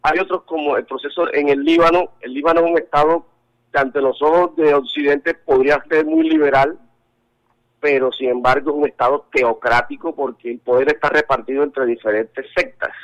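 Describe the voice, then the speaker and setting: A Colombian man speaking as an interview guest over a telephone call, halting and restarting his sentences, with many fillers. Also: on the high side, fairly even intonation. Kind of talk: phone interview. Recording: telephone line.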